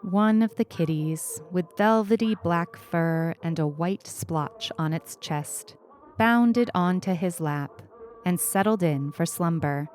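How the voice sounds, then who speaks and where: Slow, low-voiced woman narrating fiction. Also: darkish tone. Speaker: story reader. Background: music.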